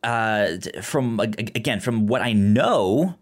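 A man's voice: lowish voice, animated, unhurried. A man co-hosting a podcast, chatting casually and stammering over repeated syllables and words. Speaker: podcast co-host reviewing a film. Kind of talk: casual discussion.

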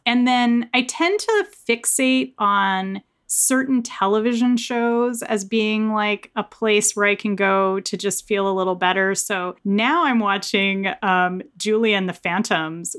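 A woman's voice: medium pitch, a bit bright, smooth. An American woman speaking in an earnest, reflective, conversational way as an interview guest, often saying "like" and "you know". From United States. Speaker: author being interviewed.

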